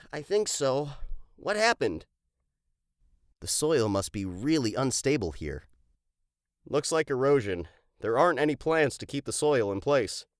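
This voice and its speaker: A woman voicing a curious, practical young explorer in an expressive children's storybook read-aloud. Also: very deep voice, dry recording, studio quality, voice pushed down, exaggerated voice. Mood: alert, concerned. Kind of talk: dramatized children's storybook dialogue.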